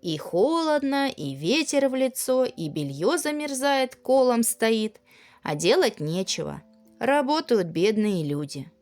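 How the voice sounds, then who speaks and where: A woman storyteller narrating a children's fairy tale in an expressive, folksy manner. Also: a little high, unhurried, faint music behind.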